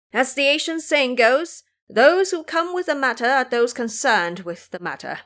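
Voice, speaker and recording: A woman's voice: mid-range voice, a bit bright. A woman playing an anxious, deferential royal official with formal, reasoned speech in an audio drama. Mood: persuasive.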